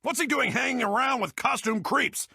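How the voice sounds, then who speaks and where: An actor playing a blustering, cantankerous middle-aged newspaper boss in a video game, ranting pompously on air in a gravelly, raspy voice. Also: male voice, very high-pitched, moderate pace, bright, forceful tone, dry recording, studio quality, full character voice. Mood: outraged, warning. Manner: pompous, emphatic, bombastic delivery. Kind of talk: theatrical broadcast rant, video game voice acting.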